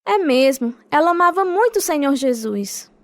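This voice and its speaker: A woman's voice: sits high, animated, moderate pace, no echo. An actress playing a devout, grieving mother and steadfast wife in a radio drama, earnest and sorrowful. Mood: sad, devoted. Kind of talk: radio drama acting and first-person narration.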